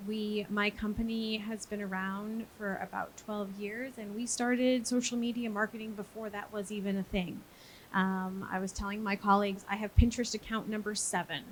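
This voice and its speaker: A woman's voice: mid-range voice, a bit bright, rough recording. A woman from the United States leads a conference panel in an easygoing, joking way. She talks with plenty of fillers over a faint hiss. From Maine, United States. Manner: chatty, self-deprecating, many fillers. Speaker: panel moderator. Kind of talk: live panel discussion. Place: conference stage.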